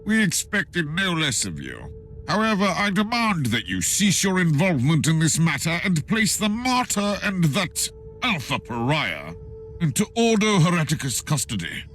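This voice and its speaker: Pompous rival inquisitor in a sci-fi game: haughty, forceful, highish voice, bold swoops. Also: male voice, big pitch swings, normal speed, faint music behind, low-quality recording. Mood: imperious. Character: stern, haughty, zealous male rival inquisitor. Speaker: game character. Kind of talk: dramatic video game cutscene acting.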